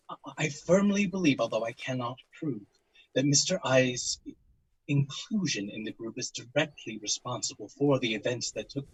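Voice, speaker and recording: An actor playing a regretful doctor giving halting, formal testimony for an audio drama. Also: male voice, mid-range voice, fairly bright tone, husky, poor audio.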